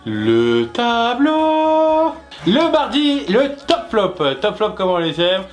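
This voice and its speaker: A man's voice: very high-pitched, very animated, slow, soft background music. A man co-hosting a web show, explaining things in an informal, jokey way and often interrupting himself. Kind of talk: casual explainer chat with co-host.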